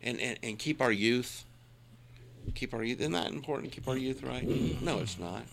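Gravelly folksy man in his sixties. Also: medium pitch, expressive, normal speed, background noise. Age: 60s. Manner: folksy, self-deprecating, emphatic. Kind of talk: casual chat.

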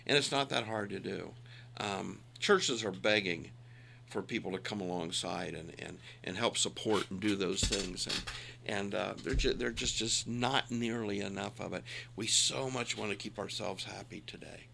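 A man's voice: lowish voice, moderate pace, creaky, background noise. A man in his sixties chatting casually with a co-host, folksy and self-deprecating, leaning into emphatic points. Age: 60s. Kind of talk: casual chat.

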